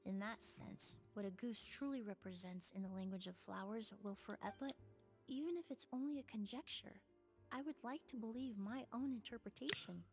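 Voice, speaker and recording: A composed young woman perfumer from a video game, played by an actress, speaks in a slightly high voice. She is measured, gentle and contemplative, choosing her words with care over soft music. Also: close to an everyday voice. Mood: reflective. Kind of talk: scripted video game dialogue.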